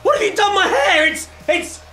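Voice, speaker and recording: Man acting a stammering, put-upon salon customer, very animated. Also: very high voice, moderate pace, shouting. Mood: outraged. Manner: hesitant, stammering, repeats words. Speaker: actor in an amateur audio play. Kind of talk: comedic radio-play acting.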